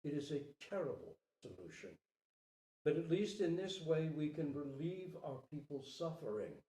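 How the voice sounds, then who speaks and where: A man playing a noble, solemn stag leader, grave and deliberate, in a storybook reading. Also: a little high, very animated, mellow. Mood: grave resolve. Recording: roomy, distant microphone.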